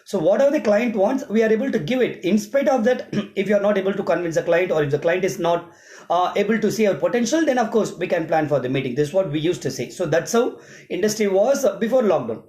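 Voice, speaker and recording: Indian-accented man, high-pitched voice, informal explanatory monologue, step-by-step delivery, roomy echoing recording. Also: expressive, quick pace, a little mellow. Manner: repetitive, step-by-step explaining with examples. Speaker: event emcee and host.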